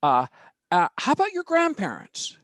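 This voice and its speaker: A man hosting an interview podcast, chatty and quick to laugh. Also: high-pitched, wildly swooping pitch, a bit bright, dry recording, clean studio sound. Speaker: podcast host.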